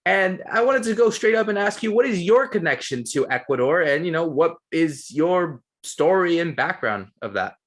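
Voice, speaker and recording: A man's voice: on the high side, big pitch swings, normal speed, few pauses. A man hosting a football podcast, asking long, eager questions in a conversational interview style. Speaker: podcast host.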